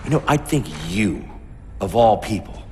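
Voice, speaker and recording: A man playing a gruff, imperious older navy captain in a video game, brusque and commanding. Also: lowish voice, lively intonation, a little slow, dark tone, a little hiss or hum. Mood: stern. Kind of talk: video game cinematic acting. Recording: long hall reverb, music underneath.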